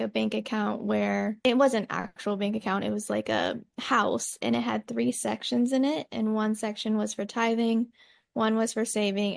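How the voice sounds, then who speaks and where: A woman's voice: on the high side, vocal fry, no room sound, clean studio sound. A woman in her twenties telling a short personal story in a straightforward way. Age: 20s. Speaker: marketing manager. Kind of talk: casual interview answers.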